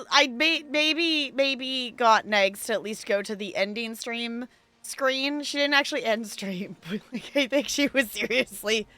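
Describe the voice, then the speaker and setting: A woman chatting casually and animatedly on a game livestream, halting mid-thought as she goes. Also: on the high side, sharp tone, no room sound. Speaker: video game live streamer. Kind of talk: casual livestream chat over gameplay.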